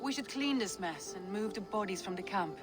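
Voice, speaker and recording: An actress playing a shrewd, level-headed Viking strategist in a game, frank, firm and formal. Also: female voice, medium pitch, animated, brisk, over music, natural voice. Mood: firm, reproachful. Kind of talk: cinematic game dialogue.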